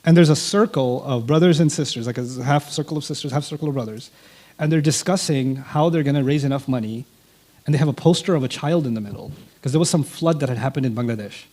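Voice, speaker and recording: A man's voice: medium pitch, fast. Man telling a story, Urdu native. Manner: animated, acts out quoted dialogue. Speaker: interview guest. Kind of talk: personal storytelling in an interview.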